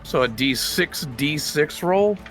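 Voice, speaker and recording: A man's voice: on the high side, lively intonation, takes it slowly, a bit bright, faint music behind. A man playing a tabletop game, chatting casually with the group.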